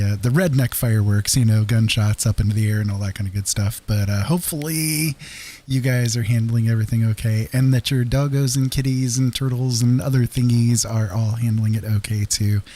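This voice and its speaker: A man's voice: medium pitch, mellow. A man hosting a late-night livestream from his rural home, chatting casually with viewers, rambling with many fillers and frequent laughs. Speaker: livestream host.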